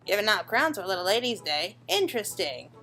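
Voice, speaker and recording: A woman voices an earnest young man, a doting brother in a video game. His delivery is lively in pitch, with quiet music behind. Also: a little fast. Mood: thoughtful, fond.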